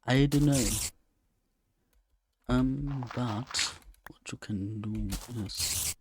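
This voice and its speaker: A man's voice: mid-range voice, extremely slow, noisy background. A man giving casual, unscripted video game commentary, rambling and thinking aloud with hesitation. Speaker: let's play host.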